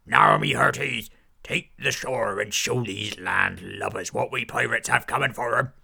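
A man voicing a boisterous pirate captain, loud and gruff with hearty pirate talk, in a storybook tale. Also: medium pitch, measured pace, full character voice. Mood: bellowing. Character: boisterous male pirate captain, flower lover.

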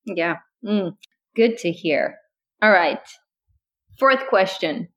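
A woman in her thirties from Northern Norway, speaking English with a Northern Norwegian accent as a podcast co-host, relaxed and laughing often. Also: mid-range voice, slow pace, lo-fi sound. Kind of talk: casual chat.

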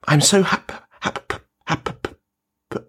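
A man's voice: slow pace, a bit bright. An actor playing a nervous, eager, lovestruck man with a heavy stammer in a radio play. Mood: elated, anxious.

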